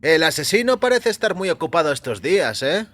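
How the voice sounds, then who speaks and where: A talkative, genial doctor, acted by a man in an audio story. The voice rides high and animated, breezy and matter-of-fact, with music underneath. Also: a little fast, slight background noise. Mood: animated, chatty. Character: chatty, jovial doctor, curious and matter-of-fact. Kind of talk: audio fiction character acting. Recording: music behind the voice.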